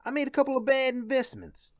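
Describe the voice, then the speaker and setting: A woman's voice: high voice, steady tone, fast, slight background noise, natural voice. A woman playing a greedy, falsely chummy male gambler in a radio play, stammering and wheedling. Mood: sheepish, wheedling.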